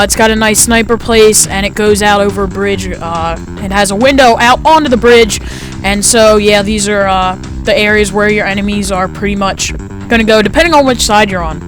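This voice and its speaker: A fifteen-year-old boy with a light, high-sounding voice, chatting casually through his gameplay commentary with lots of fillers. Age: teens. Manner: chatty, rambling, frequent fillers.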